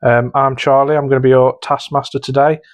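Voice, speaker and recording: British male co-host, mid-pitched, quick delivery, informal podcast banter. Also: level tone.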